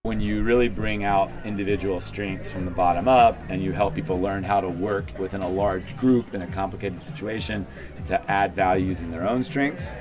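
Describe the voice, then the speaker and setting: Brief: deep-voiced male executive, deliberate, clear music bed under the voice. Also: fairly even intonation. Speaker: company CEO. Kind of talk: interview answer.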